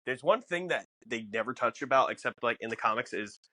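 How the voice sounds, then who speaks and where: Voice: mid-range voice, expressive, fairly quick, pushed and bright. A podcast co-host in casual fan discussion, musing and posing questions aloud.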